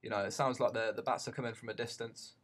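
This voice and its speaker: A man giving an unscripted tutorial as a teacher, haltingly thinking aloud as he goes. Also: mid-range voice, fairly even intonation, fairly bright tone.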